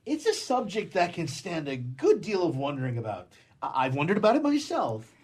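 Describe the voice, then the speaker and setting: A man plays an exasperated young lover in a 1920s comedy radio play. His husky voice leaps up and down as he blusters, stammers and makes florid, cynical declarations of love. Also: on the high side, wildly swooping pitch, brisk, darkish tone, exaggerated voice. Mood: defensive.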